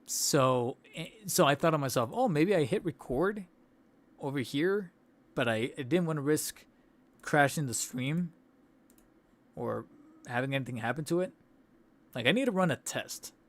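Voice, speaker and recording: A man's voice: a little high, expressive, a little slow, long pauses. A young man hosting a toy collecting livestream, losing his voice, rambling casually with restarts and laughs. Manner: rambling, self-interrupting, laughs between thoughts. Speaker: toy channel host. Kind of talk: casual livestream wrap-up chat. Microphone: studio desk mic.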